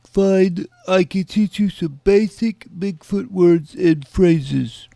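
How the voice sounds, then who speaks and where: Slow, creaky, wary eccentric. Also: male voice, high voice, mellow, dry recording, exaggerated voice. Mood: reluctant. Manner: hesitant, grudging. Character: wary, eccentric man who distrusts kids, Bigfoot-language expert. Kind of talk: scripted audio drama dialogue.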